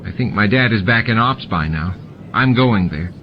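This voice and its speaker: A man's voice: fairly low pitch, animated, moderate pace, lightly acted. A man voicing an earnest, cautious teenage boy in an audiobook, with a worried manner. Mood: earnest worry. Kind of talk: audiobook character voice. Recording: muffled, with clear background noise.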